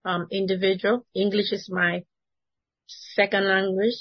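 A woman's voice: mid-range voice, little pitch movement, a little slow, husky, no room sound, highs cut off. A woman with a Spanish accent, a native Spanish speaker, sharing an earnest testimony at a Bible study and laughing between phrases. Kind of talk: casual testimony.

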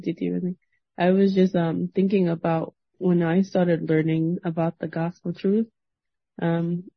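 A woman sharing a hesitant testimony at a Bible study, softly, with many pauses and ums. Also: fairly low pitch, dry recording, highs cut off. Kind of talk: casual testimony.

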